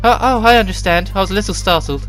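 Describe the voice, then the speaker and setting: Guy putting on a meek shy game girl, way higher voice, hesitant and flustered, faint music. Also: fast talker, a bit bright, poor audio. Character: shy, flustered, polite young woman in a video game. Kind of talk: amateur reading of game dialogue.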